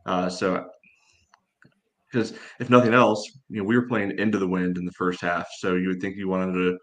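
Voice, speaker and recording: Man, low voice, even intonation, halting, filler-heavy, casual sports analysis. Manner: halting, measured, peppered with "you know". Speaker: sports podcast panelist.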